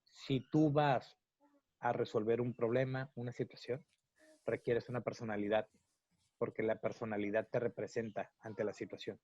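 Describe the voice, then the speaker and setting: Measured Mexican man explaining, lo-fi. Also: medium pitch, flat delivery, a little mellow, no echo. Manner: measured, lecturing, unhurried. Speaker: psychologist. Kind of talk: interview, explaining at length. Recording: dull, lo-fi, dry sound.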